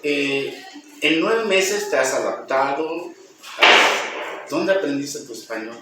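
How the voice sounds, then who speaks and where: Guy doing an interview, talks real slow, lots of uju and si, echoey room, far off mic. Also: on the high side, animated, loud music behind the voice. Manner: unhurried, with frequent backchannel agreement. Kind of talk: casual interview. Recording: echoey, distant, with noticeable noise.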